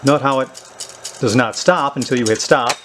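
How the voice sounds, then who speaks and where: A man with a medium-pitched voice talks through a piece of equipment in a casual, unscripted way. He thinks aloud and pauses as he goes. Faint background noise and small clicks sit behind him. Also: a bit bright. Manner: halting, thinking aloud while handling equipment. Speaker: host demonstrating a vintage tape recorder. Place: indoors at a tabletop.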